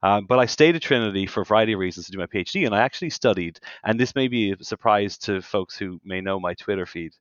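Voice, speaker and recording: A man's voice: on the low side, quick pace, hardly any pauses, no echo. A man in his forties with an Irish accent, a professor chatting as a guest over a remote call, wry and joking about himself. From Ireland. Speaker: planetary science professor, guest. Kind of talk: casual interview chat. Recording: dull dry call audio.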